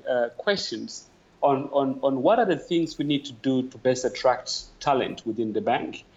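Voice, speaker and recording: Man, from Tanzania, mid-pitched, thoughtful, hesitant fillers, podcast guest, slightly muffled remote audio. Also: moderate pace, darkish tone. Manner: thoughtful, many "uh" fillers, earnest. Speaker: podcast guest, talent development executive. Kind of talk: conversational interview answer. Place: calling in remotely.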